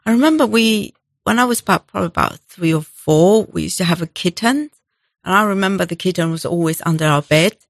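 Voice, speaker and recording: A middle-aged woman, telling stories as an interview guest in halting, full of restarts. Her voice sits a little low. The recording is dry and close. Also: creaky, dry recording. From Taiwan. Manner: halting, non-native phrasing with restarts. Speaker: guest, animal welfare director. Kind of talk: conversational interview storytelling.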